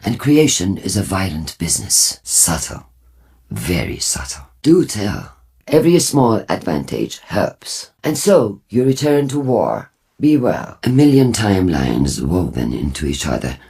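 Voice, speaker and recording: A prophetic leader of a futuristic war cult in a video game. The voice is low and husky, swinging widely in pitch. The delivery is formal, deliberate and full of portent. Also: male voice, wildly swooping pitch, a little mellow, exaggerated voice. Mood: grave, measured. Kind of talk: scripted video game character dialogue.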